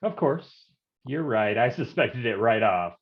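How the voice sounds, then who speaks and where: A man's voice: medium pitch, expressive, unhurried, muffled recording, lightly acted. A man playing a bumbling, folksy private detective in a staged noir parody, stammering and easily rattled. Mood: nervous bluster. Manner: stammering, nervous chuckling, folksy slang.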